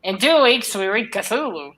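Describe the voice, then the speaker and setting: Female co-host with a very bright, forward voice at average pitch, informal and joking. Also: lively intonation, moderate pace. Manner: playful, jokey. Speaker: co-host of a livestream read-along. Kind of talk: casual chat.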